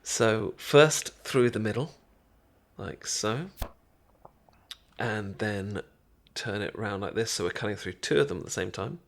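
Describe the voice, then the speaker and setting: Guy playing shy british best friend crushing on you, asmr romance rp, slow, sweet and stammery. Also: lowish voice, gravelly. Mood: gentle, instructing. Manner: hesitant, stammering, tender. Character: shy, affectionate boyfriend-to-be best friend teaching sushi making.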